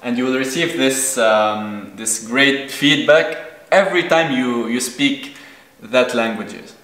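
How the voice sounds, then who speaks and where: A man's voice: mid-range voice, slow, a bit bright, roomy sound. A man in his thirties from Lebanon, a native Arabic speaker, giving thoughtful interview answers with many hesitations. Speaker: guest. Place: indoor room on a sofa.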